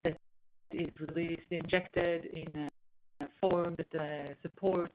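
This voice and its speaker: A woman executive answering on an earnings call, explaining in a halting way as she searches for words. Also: on the low side. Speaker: company executive. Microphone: conference call line. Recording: dull, lo-fi conference call line.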